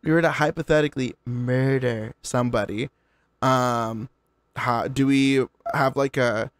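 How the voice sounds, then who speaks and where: A young man co-hosting a casual podcast, rambling and jokey, swearing freely as he thinks out loud. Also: medium pitch, steady tone, a little slow, no echo. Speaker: podcast co-host. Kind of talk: casual chat. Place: Los Angeles.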